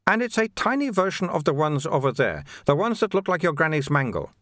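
A male narrator acts out a placid, talkative monk from a storybook. His voice sits on the high side and swoops gently as he soothes and teases. The sound is close and dry. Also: very animated, a little fast, no echo. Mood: explaining, amused. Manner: casual, soothing, lightly teasing explanations. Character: calm, chatty, placating monk guide, older man. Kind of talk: audiobook character dialogue.

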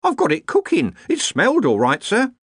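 Man putting on an anxious, high-voiced woman cook. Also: huge pitch swings, moderate pace, fairly bright tone, no echo, studio quality, heavily acted. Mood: anxious, flustered. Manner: anxious, deferential, uneducated servant's grammar. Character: skinny, worried, deferential woman cook in Victorian household.

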